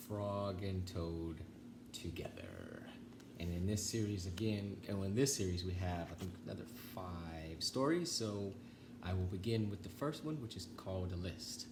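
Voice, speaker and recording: A man reading a children's story aloud, with deliberate phrasing and pauses. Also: fairly low pitch, big pitch swings, noisy background.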